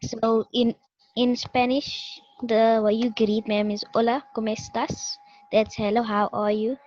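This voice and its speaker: Polite student, flat, lo-fi. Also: female voice, on the high side, measured pace, dry recording. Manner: polite, says ma'am a lot.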